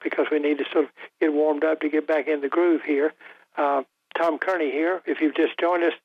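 An older man hosting a call-in radio talk show, talking in a halting way with many fillers and restarts, his voice a little rough. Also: fairly high pitch, no echo. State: voice slightly rough after illness. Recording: dry, tinny broadcast audio.

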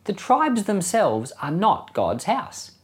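Animated man teaching the Bible informally. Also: high-pitched, takes it slowly. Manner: conversational, enthusiastic, with rhetorical questions. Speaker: pastor teaching a Bible podcast.

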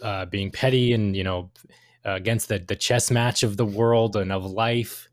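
A man co-hosting a podcast, discussing lore casually in a halting, hesitant way. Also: on the low side, fairly even intonation, no room sound, clean studio sound. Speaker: podcast co-host.

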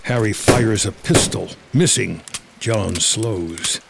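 A man's voice: lowish voice, takes it slowly, vocal fry, very noisy. A man reading scripted screenplay narration as the narrator of a drama.

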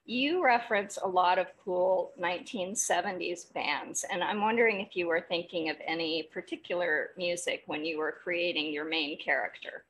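A woman's voice: lowish voice, normal speed, few pauses, a bit bright, slightly rough, rough recording. A woman hosting a podcast, speaking in a conversational interview style. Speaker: podcast host.

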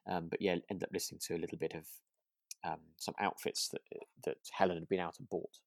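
A low voice in a dry, echo-free recording, co-hosting a casual discussion. The delivery is informal and a little hesitant, feeling out each point while thinking aloud. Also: little pitch movement, fast, creaky. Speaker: podcast co-host. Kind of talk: casual chat about a radio drama.

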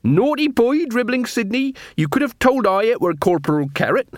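A man's voice: very high-pitched, expressive, normal speed, no room sound, studio quality, voice pushed up. A man voicing a bossy, whining old beggar queen with rustic, ungrammatical speech in a story. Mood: scolding, surprised.

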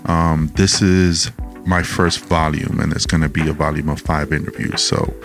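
A man's voice: very deep voice, steady tone, normal speed, gravelly, over music. A male podcast host with a laid-back manner, asking questions and doing the outro with lots of fillers. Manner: laid-back, many fillers and short backchannels. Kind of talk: casual interview and podcast outro.

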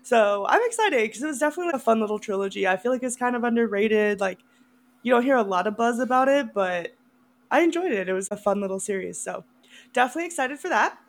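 A woman's voice: on the high side, expressive, fast. A woman co-hosting a horror movie podcast in casual chat, often laughing as she talks.

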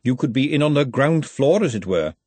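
A man's voice: mid-range voice, very animated, fairly quick, a little mellow, slightly rough, no room sound, pitched above the performer's own voice. A man voicing a down-to-earth working woman, confident and persuasive, in an audiobook. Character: brisk, down-to-earth working woman selling cosmetics. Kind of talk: audiobook character acting.

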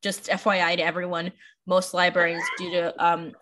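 A woman's voice: lowish voice, completely flat delivery, moderate pace, a bit bright, slight background noise. An American woman from Washington, D.C., chatting casually as a podcast host and librarian, with rambling, self-deprecating asides. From Washington, D.C., United States. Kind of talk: casual chat.

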